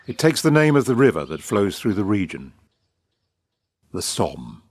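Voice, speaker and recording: Man playing a low-voiced, suave British wartime official. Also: sing-song, no room sound. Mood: hopeful, measured. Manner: urbane, eloquent, measured, grandiloquent. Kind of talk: period audio drama acting.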